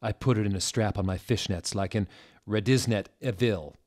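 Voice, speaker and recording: A man in his thirties giving a deliberate, theatrical reading of fan fiction, close to a desk microphone. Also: lowish voice, a little mellow. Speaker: reader, show host. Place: small furnished room indoors.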